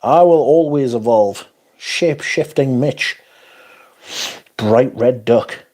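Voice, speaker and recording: A man's voice: medium pitch, slow, a little mellow. Sweary man hosting a livestream. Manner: sweary, sarcastic, banters with chat. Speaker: livestream host reading chat. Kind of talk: casual livestream chat.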